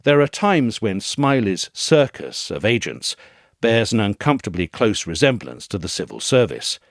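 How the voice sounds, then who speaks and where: Male essay narrator: low pitch, lively intonation, measured literary read, articulate, very dry close sound. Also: no echo. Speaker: narrator reading an essay aloud.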